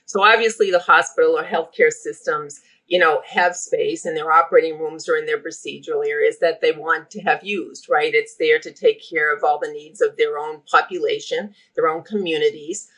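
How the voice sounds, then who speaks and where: A woman's voice: sits low, fairly even intonation, normal speed, few pauses, a bit bright, poor audio. A middle-aged woman with a Boston accent, talking energetically as a guest on a podcast interview. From Boston area. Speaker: healthcare operations guest.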